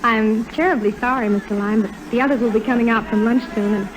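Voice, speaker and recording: A woman's voice: on the high side, quick pace, lightly acted, rough recording. An actress playing an innocent, demure nineteen-year-old girl in an old radio drama. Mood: demure, guarded. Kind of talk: 1950s radio drama acting. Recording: old 1950s radio recording.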